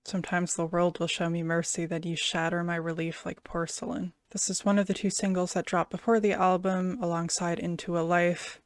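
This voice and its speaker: A woman's voice: on the low side, little pitch movement, fairly quick, few pauses, dry recording, studio quality. A woman giving casual, musing commentary as she reviews an album, sometimes searching for her words. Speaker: music reactor and reviewer. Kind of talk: casual album reaction commentary, some reading aloud.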